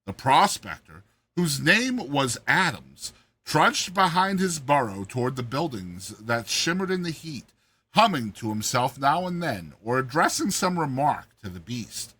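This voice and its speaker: Theatrical male narrator, very animated delivery. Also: medium pitch, unhurried, husky. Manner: theatrical, expressive. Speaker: narrator, host of a reading series. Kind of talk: dramatized short story narration.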